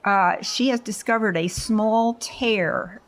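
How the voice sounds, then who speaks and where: A woman's voice: medium pitch, takes it slowly. A woman casually describing her character's actions as a player in a tabletop role-playing game.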